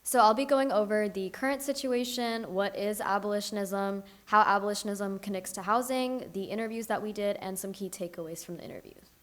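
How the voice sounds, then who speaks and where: Young woman giving a steady research talk. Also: medium pitch, a little fast, hardly any pauses. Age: young adult. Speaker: student research presenter. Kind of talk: academic presentation.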